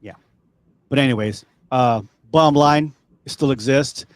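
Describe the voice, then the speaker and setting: A man's voice: medium pitch, measured pace, dry recording. A man with a Californian accent hosting a podcast, talking loosely with many fillers and restarts. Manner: relaxed, rambling, many fillers. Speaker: podcast host. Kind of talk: casual chat.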